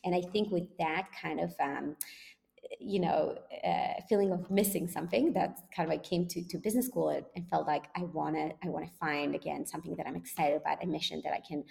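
Low, gravelly woman from Israel. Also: fairly quick, darkish tone, in a large hall. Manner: thoughtful, many ums and self-corrections. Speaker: startup CEO guest. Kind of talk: interview answers.

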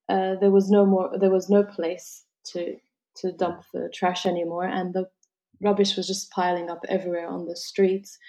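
A woman from Lebanon, whose first language was French, answers interview questions with a gravelly edge to her voice. Her tone is dark and mellow. She talks thoughtfully, restarting and filling pauses often. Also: medium pitch. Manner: thoughtful, many restarts and fillers. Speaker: travel concierge.

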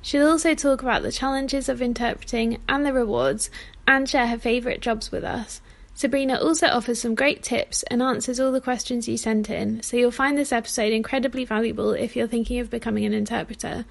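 A woman hosts a podcast with a slightly high voice. She reads her introduction in an upbeat way, then asks friendly questions in casual conversation. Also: steady tone, a little fast, vocal fry. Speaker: podcast host. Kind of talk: scripted intro and casual interviewing.

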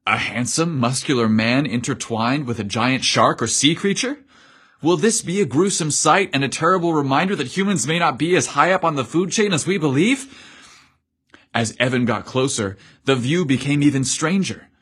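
A man reads a story aloud in a measured, descriptive way. His voice has a husky, breathy grain and sits in a middle register. The delivery is that of scripted narration. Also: mid-range voice, fairly bright tone.

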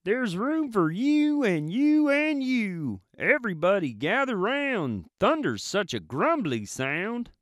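A man doing a squeaky cheerful little truck. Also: big pitch swings, takes it slowly, hardly any pauses, fairly bright tone, no room sound, studio quality, exaggerated voice. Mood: friendly. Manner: high chirpy beeping voice.

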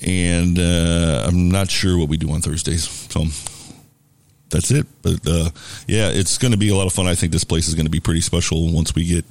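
A man with a deep, booming voice hosting a casual live radio show from a bar, rambling easily with lots of fillers. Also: moderate pace. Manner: relaxed, rambling with many fillers. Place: bar and restaurant.

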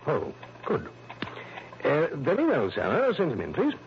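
An actor playing a fussy, anxious insurance office manager in early 1960s radio drama, formal, precise and easily flustered. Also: male voice, a little high, wildly swooping pitch, measured pace, heavily acted. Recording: muffled, noisy old radio recording.

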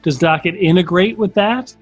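A man's voice: high voice, moderate pace, slightly rough, highs cut off, soft background music. A man hosting a podcast interview, chatty and casual, with frequent stumbling restarts. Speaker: podcast host.

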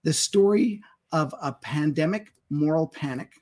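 A man's voice: a little high, unhurried, darkish tone. A man hosting a live webinar, introducing guests in a loose, friendly way with fillers. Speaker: webinar host.

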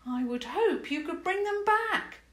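A woman's voice: very high voice, expressive, a little slow, fairly bright tone, voice pushed up, exaggerated voice. A woman voicing a polite, brave young princess who speaks earnestly and formally. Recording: echoey room.

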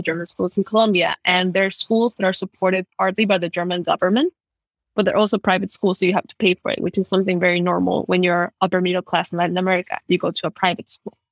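A woman's voice: mid-range voice, no echo. A young woman from Ecuador, a guest chatting casually in an interview, telling stories with lots of "like". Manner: chatty, uses lots of "like", animated storytelling.

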